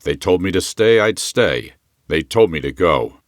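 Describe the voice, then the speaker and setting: Terse, stoic young vault dweller in an audio drama, voiced by a man, giving clipped orders in a very deep voice that still swings a fair way up and down. The sound is dry and close. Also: measured pace, no room sound. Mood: indifferent. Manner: terse, flat commands.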